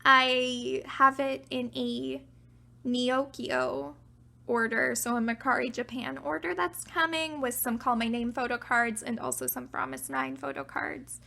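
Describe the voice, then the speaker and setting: A young woman casually talking through her collection, chatty and self-deprecating, her voice getting raspy from talking a while. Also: high voice, unhurried, pushed and bright. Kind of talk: casual show-and-tell narration.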